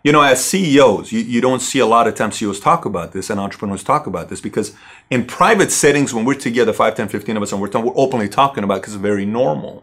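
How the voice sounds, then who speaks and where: A middle-aged man from Iran, a company CEO, telling his personal story in a halting way with many fillers and restarts. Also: fairly low pitch, lively intonation, fairly bright tone.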